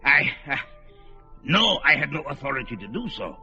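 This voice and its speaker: An actor playing an ancient, wily old wizard with a sly, dry manner in a radio play. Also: male voice, mid-range voice, very animated, moderate pace, pushed and bright. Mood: sheepish. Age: older. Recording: dull lo-fi radio play with music.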